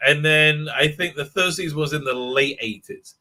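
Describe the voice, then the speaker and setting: A man with a somewhat high, very bright voice hosts a casual online stream. He rambles, stops and restarts, with plenty of "uh" and "right?". He talks into a computer mic on a video call. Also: moderate pace. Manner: rambling, full of fillers and restarts. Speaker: livestream host. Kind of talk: casual chat.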